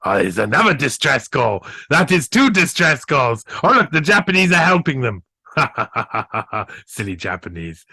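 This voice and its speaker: A man's voice: medium pitch, sing-song, unhurried, pushed and bright, slightly rough, dry recording, studio quality. A man hosting a podcast, giving sarcastic, rambling commentary on a news clip and laughing at his own jokes. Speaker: podcast host.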